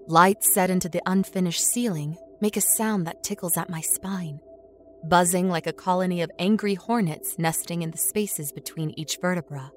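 A woman acting a haunted, introspective captive with no memory, narrating a horror audio story in a measured, brooding way. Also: fairly low pitch, lightly acted. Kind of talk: first-person scripted horror audio story. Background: music score under the voice.

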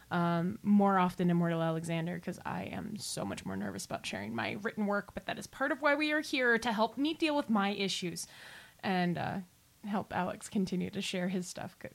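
A woman co-hosting a podcast in casual chat, playful, rambling and self-deprecating. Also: medium pitch. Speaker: podcast co-host.

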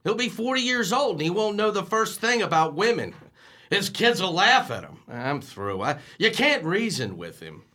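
A man's voice: sits high, very animated, moderate pace, bright, forceful tone, full character voice. An actor playing a bumbling middle-aged working-class father in a radio comedy, stammering and folksy, easily flustered. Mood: exasperated. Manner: stammering, folksy ungrammatical speech. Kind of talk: old-time radio situation comedy acting.

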